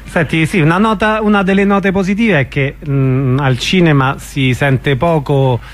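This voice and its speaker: A man's voice: a little high, unhurried. A man hosting a radio show, asking a guest questions in a conversational interview style. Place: radio studio.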